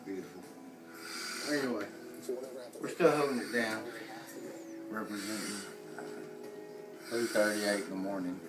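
A drunk young man joking and rambling rowdily while filming a late-night party in a small home bar room, swinging between shouts and mumbles. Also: lowish voice, unhurried, pauses often, over loud music, rough recording. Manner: rowdy, slurring, joking, often shouting or mumbling.

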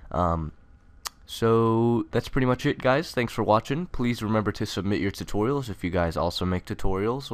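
Low, clean-voiced young man. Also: no room sound. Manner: informal, conversational. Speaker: tutorial host. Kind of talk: casual software tutorial narration.

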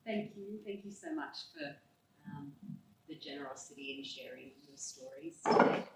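A woman's voice: deep voice, sing-song, normal speed, vocal fry, heavy background noise. A woman in the audience politely asks a question from the floor, hesitating with filler words. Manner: polite, hesitant with many ums. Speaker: audience member asking a question. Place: university seminar room. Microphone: handheld mic. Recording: very echoey room from far off.

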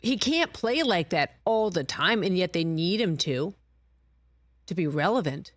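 A woman's voice: on the low side, expressive, measured pace, no room sound. A woman guest-hosting a sports talk show in a TV studio, speaking at a desk microphone in a wry, playful way. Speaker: guest host. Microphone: desk broadcast mic.

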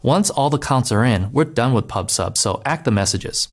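Steady man narrating a coding tutorial. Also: medium pitch, normal speed. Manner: clear, steady step-by-step instruction. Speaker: developer advocate. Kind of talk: scripted technical narration. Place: voice-over over screen recordings.

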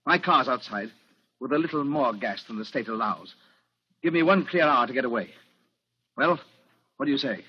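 A man's voice: medium pitch, expressive, normal speed, pauses often, breathy edge. An actor playing a cornered, arrogant male villain with threatening, formal speech in a 1940s radio drama. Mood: defiant. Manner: threatening, haughty, formal diction. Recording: dull vintage 1940s radio recording.

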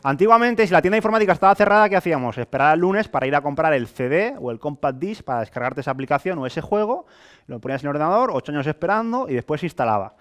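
A man in his late twenties with an accent from Spain, giving a colloquial, animated sales presentation to a live audience. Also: a little high, very fast. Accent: Spain Spanish. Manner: animated, colloquial, rhetorical questions and acted-out examples. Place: hotel meeting room with a small audience, indoors.

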